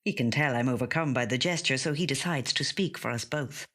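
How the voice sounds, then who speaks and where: A woman reading a first-person literary short story in a measured, gentle storytelling manner. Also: extremely low pitch. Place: audio studio.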